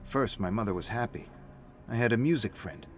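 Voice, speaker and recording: A man with a low voice narrates a dramatic story as written. His reading is scripted and theatrical, with faint music playing behind him. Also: brisk. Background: faint background music.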